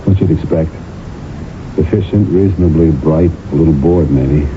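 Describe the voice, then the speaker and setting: A low male voice comes through a thin, noisy radio signal. a protective husband in a drama, halting and pleading, caught between tenderness and exasperation. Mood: gentle, concerned. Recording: tinny, hissy old radio broadcast.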